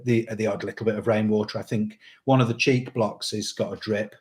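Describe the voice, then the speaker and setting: A man co-hosting a casual sailing livestream, answering viewer comments in a relaxed, rambling way with many fillers. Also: lowish voice, brisk. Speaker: sailing channel co-host.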